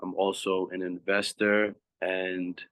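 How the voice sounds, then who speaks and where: A man's voice: deep voice, level tone, slow, a bit bright. A man from Long Island, a podcast guest chatting in a laid-back, easygoing way. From Long Island, New York. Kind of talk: casual chat.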